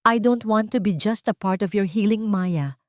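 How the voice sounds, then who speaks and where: A woman's voice: mid-range voice, moderate pace, no echo, voice pushed up, natural voice. Audio story suitor, played by a female narrator: young man in love, earnest, tender, pleading, close and dry. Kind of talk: romantic audio story dialogue.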